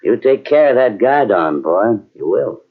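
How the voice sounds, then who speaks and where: Avuncular cavalry officer: assured, genial, very expressive pitch, muffled vintage radio drama sound. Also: male voice, a little slow, full character voice. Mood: easy authority. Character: genial, confident frontier cavalry commanding officer. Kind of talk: old-time radio drama acting. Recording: muffled old radio drama from cassette tape.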